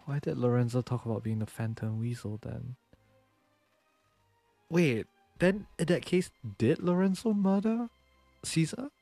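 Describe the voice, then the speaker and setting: A man's voice: medium pitch, a little slow, vocal fry, long pauses, mellow, no echo. A man doing casual livestream game commentary, reacting and thinking aloud. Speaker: livestreamer, VTuber. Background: game music.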